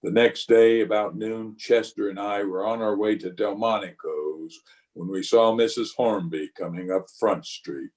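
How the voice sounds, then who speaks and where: Man acting as a weary, low-voiced frontier marshal. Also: a little slow, darkish tone, lightly acted. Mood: somber narration. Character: weary, conscience-stricken frontier marshal, grave and plainspoken. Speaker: actor and narrator in an amateur radio play. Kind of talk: old-time radio western acting. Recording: voice recorded over an internet call.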